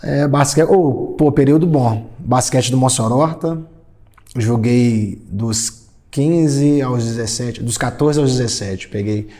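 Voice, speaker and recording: A man's voice: medium pitch, takes it slowly. A man in his thirties from São Paulo state, Brazil, a guest singer reminiscing in casual chat. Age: 30s. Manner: reminiscing, relaxed storytelling.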